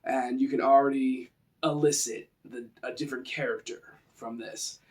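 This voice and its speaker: A man's voice: mid-range voice, fairly even intonation, moderate pace, darkish tone, recorded from a distance, natural voice. A man voicing a burly, tough male brawler character for a roleplaying game.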